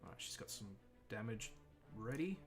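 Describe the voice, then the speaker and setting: A man's voice: lowish voice, animated, normal speed. A man with a half Australian, half American accent casually commenting on his gameplay as a streamer, chatting to himself while he plays. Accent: mixed Australian and American. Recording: game music in background.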